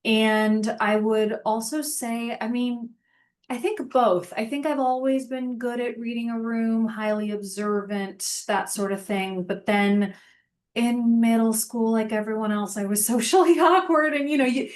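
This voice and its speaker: A woman's voice: on the high side, fairly even intonation, a little slow, darkish tone. A woman in her thirties, a guest talking casually and thoughtfully in an interview, with a lot of fillers. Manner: chatty, reflective, frequent fillers. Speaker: podcast guest, sales engineering manager.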